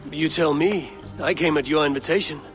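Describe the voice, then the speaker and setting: An actor playing a confident Viking warrior in disguise in a video game, speaking tersely with dry wit. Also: male voice, on the high side, brisk, fairly bright tone, faint music behind, close to an everyday voice. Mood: pointed. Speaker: game protagonist. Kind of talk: video game cutscene acting.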